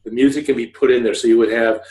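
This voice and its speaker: Casting: man, forties, mid-pitched, casual explainer who wanders and backtracks, recorded at home with roomy echo. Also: fairly even intonation, fast, darkish tone. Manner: rambling, explanatory, with self-corrections. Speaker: host of a scriptwriting video podcast, fiction writer. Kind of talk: informal instructional talk to camera. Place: living room couch, indoors.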